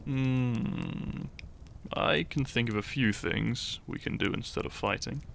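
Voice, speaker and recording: A man playing a shy, teasing, lovestruck young hero in an intimate romantic audio roleplay, speaking softly and hesitantly with small stammers. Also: mid-range voice, takes it slowly, a little hiss or hum. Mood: flustered, coy. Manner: soft, hesitant, stammering, breathy sighs and chuckles.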